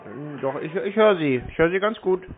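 A man with a fairly high voice talks over a thin phone line. He speaks casually and briefly, with a stubborn, insistent manner. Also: animated, normal speed, a crowd in the background. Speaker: prank callee baiting phone scammers. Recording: muffled narrowband phone line.

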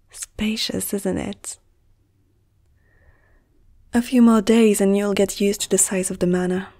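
Slow, soft-spoken flirtatious vampire noblewoman, played by a woman. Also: mid-range voice. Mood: teasing, instructive. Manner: soft, intimate, teasing, often dropping to a whisper. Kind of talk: ASMR roleplay.

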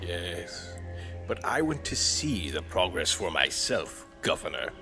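An actor playing a sinister, gloating emperor in a science-fiction audio drama, speaking in a regal, menacing, drawn-out manner. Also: male voice, sits low, very animated, music in the background, heavily acted. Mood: sly, commanding. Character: sinister, gloating galactic emperor, probing and suspicious.

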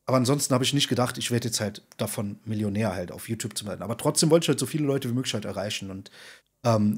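A man in his thirties with a slightly rough, husky voice at an average pitch. He talks freely and informally as a guest on a podcast video call, leaning on slang and emphasis to make his points. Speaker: podcast guest, content creator and streamer. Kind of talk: casual interview chat. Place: indoor room, video call.

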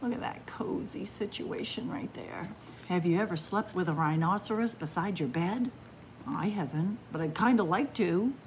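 A woman reading a picture book aloud expressively, with deliberate phrasing and dramatic pauses. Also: fairly low pitch, slight background noise. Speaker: librarian reading picture books aloud to children. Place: indoors in a library room with bookshelves.

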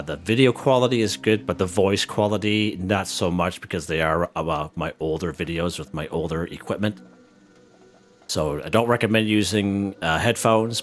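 A man hosting a casual gameplay tutorial, relaxed and step by step, who is losing his voice. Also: low-pitched. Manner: relaxed, walks viewers through step by step. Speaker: gaming channel host.

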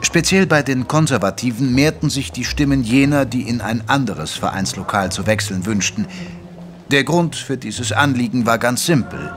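A man narrating a period mystery audio drama in a measured, literary manner. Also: mid-range voice, a bit bright, natural voice. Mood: measured narration. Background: music under the voice.

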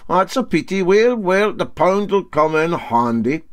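A man's voice: high voice, animated, unhurried, voice pushed up. A man voicing a wily, unruffled Scottish sea captain in a storybook, with a drawling, coolly sly manner. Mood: breezy.

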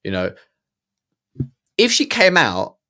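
A man's voice: mid-range voice, wildly swooping pitch, takes it slowly, creaky, sharp tone. A man in his thirties giving blunt, heated reaction commentary with lots of stammered restarts, recorded on a studio mic in a small room. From Germany. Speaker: podcast host reacting to a documentary. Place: small room with purple lighting. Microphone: studio mic on boom, earphones.

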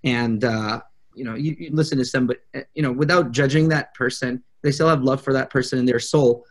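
A man in his thirties speaking as a client on a video call from an office, in a deliberate but blunt, assertive way. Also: mid-range voice. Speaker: prospective coaching client, public speaker. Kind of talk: conversational sales video call. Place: small indoor office. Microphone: video call, computer microphone.

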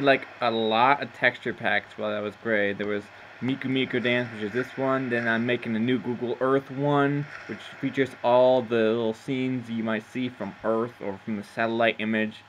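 A man's voice: mid-range voice, steady tone, normal speed, fairly bright tone, low-quality recording. Male gamer narrating, chatty and meandering, mid-range voice, recorded with audible background noise. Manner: rambling, self-amused, loses his train of thought. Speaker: gameplay narrator. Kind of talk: casual game commentary.

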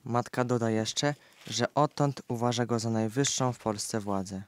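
A man's voice: mid-range voice, unhurried, clear, resonant tone, dry recording. A man reading scripted documentary narration for an audio play.

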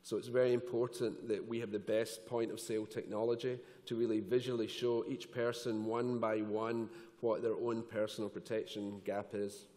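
Joking panelist in a big hall. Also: male voice, lowish voice, moderate pace, hardly any pauses. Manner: relaxed, joking, conversational. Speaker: insurance executive panelist. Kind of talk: conference panel discussion. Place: large conference hall stage. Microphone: headset mic. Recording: long hall reverb.

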